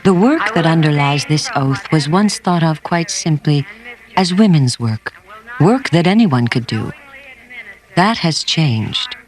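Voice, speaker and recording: A man's voice: on the high side, expressive, takes it slowly, soft background music. A man reading scripted narration for a documentary.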